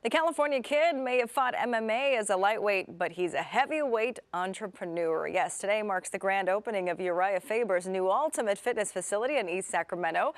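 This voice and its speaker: A woman's voice: medium pitch, lively intonation, brisk, hardly any pauses, no room sound. A woman with an American accent reading scripted television news as an anchor. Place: TV studio.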